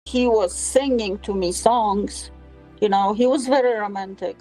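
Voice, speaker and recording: A woman in her sixties from Poland with a Polish accent, telling her own story in an interview and often pausing on fillers. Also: mid-range voice, dark tone, soft background music, low-quality recording. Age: 60s. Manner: halting, with frequent fillers.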